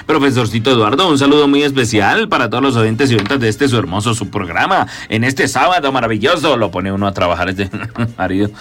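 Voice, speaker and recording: Un humorista de radio que cuenta chistes y se ríe de sus propios remates. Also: male voice, mid-range voice, lively intonation, a little fast, a bit bright. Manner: joke-telling, laughing at his own punchlines. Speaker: radio comedian.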